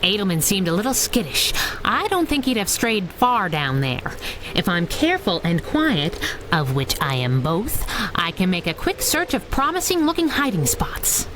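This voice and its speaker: A woman playing a plucky, wisecracking female sidekick to a masked crimefighter in a 1930s-style radio drama, brisk and irreverent. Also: medium pitch, sing-song, background noise, natural voice. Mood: eager, scheming.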